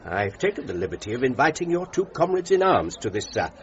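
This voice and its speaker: A man playing a genteel British ambassador in a radio drama. His upper-class English voice swings widely in pitch, courteous, hesitant and wry, on a slightly dull recording. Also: sing-song, fairly quick, lightly acted. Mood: polite, amused. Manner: polite, hesitant, understated.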